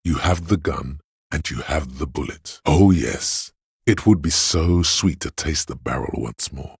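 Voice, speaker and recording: A man's voice: measured pace, dry recording, studio quality, heavily acted. A man voicing a video game's inner voice of pain, a grim, sardonic, goading presence narrating with brooding deliberation. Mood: darkly seductive. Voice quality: deep, gravelly, husky. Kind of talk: dramatic second-person game narration.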